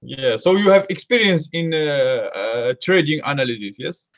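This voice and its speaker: A man's voice: on the high side, lively intonation, normal speed. Man asking simple interview questions.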